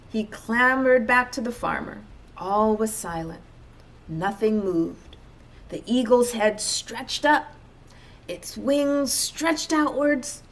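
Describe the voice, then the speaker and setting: A woman reading a children's storybook aloud as an expressive narrator. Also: on the high side. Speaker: storybook reader.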